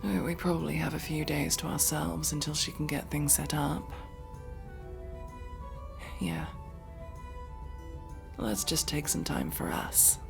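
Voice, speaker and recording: A woman playing a guarded but tender elf mercenary in intimate ASMR roleplay, slow and halting, with a creaky voice that often drops to a whisper. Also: low-pitched, fairly even intonation, over music, exaggerated voice. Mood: soft, intimate.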